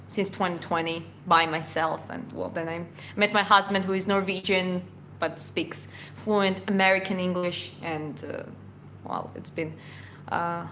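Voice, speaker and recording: A woman's voice: fairly low pitch, fairly bright tone, a little hiss or hum. A Georgian woman with an Eastern European accent, whose first language is Georgian, hosting a casual chat Q&A and answering viewer questions in a loose, rambling way with lots of fillers and restarts.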